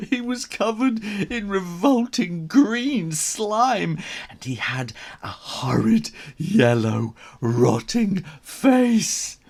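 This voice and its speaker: A man's voice: extremely high pitch, gravelly, darkish tone. A man reading a children's story aloud as its author, theatrical and suspenseful, drawing out his words.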